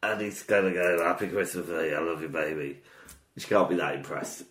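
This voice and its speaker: A man's voice: low voice, fairly quick, fairly bright tone. A British man co-hosting a podcast in casual comic chat, teasing and playful. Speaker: podcast co-host. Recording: roomy echo.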